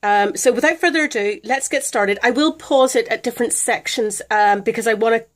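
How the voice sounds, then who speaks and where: A woman's voice: on the high side, brisk. British woman with a chest infection. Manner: chatty, hesitant with many ums. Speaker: vlogger. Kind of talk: casual budgeting tutorial. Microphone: camera phone.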